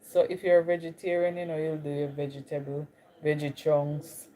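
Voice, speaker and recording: A woman's voice: low-pitched, completely flat delivery, moderate pace, mellow, poor audio. A young woman with a Jamaican accent, chatting casually with viewers on a live stream. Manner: chatty, greets viewers, drifts between Caribbean accents. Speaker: livestream host.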